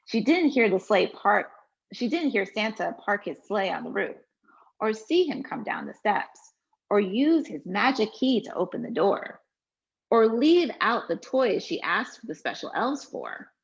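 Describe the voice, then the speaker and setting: Woman, author reading her picture book, expressive storytelling, gravelly voice, lo-fi dull recording. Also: mid-range voice, a little slow. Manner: expressive storytelling, relaxed with fillers when answering. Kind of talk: read-aloud storytelling, then interview answers.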